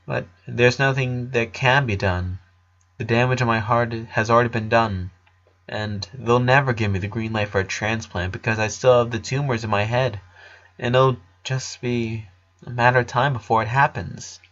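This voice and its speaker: A man's voice: mid-range voice, steady tone, moderate pace, a bit bright, highs cut off, lightly acted. A man playing a polite, resigned eleven-year-old boy in a story, hesitant and subdued.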